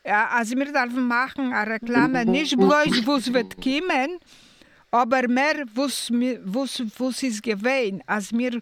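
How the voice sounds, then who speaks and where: A man's voice: extremely high pitch, a little slow, fairly bright tone. A man in casual conversation who speaks hesitantly, with many fillers as he searches for his words.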